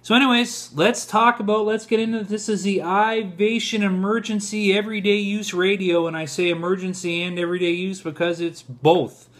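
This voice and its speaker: A man's voice: high-pitched, steady tone, moderate pace, hardly any pauses, a bit bright. A man in his forties talking informally to viewers in a casual product review, recorded in a home kitchen on a camera at arm's length. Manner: informal, addresses viewers as guys.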